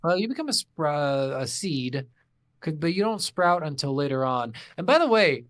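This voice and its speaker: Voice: mid-range voice, big pitch swings, normal speed, no echo, studio quality. A podcast co-host chatting casually about a video game, swearing freely and acting out reactions in mock dialogue. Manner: profane, blunt, informal. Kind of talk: casual chat recapping a game.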